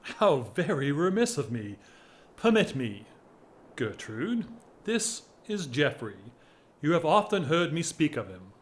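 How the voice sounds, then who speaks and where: A man acting a whimsical, restless gentleman in an audio drama, with theatrical, wordy, teasing formality. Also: fairly high pitch, sing-song, slow pace. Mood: teasing, mock-formal. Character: whimsical, restless English gentleman, an aristocrat in love.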